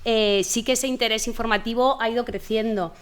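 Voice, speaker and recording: A woman from Spain, a television journalist on a panel, speaking in a measured way with some fillers. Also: fairly high pitch, fairly even intonation. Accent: Spanish (Spain). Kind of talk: panel discussion.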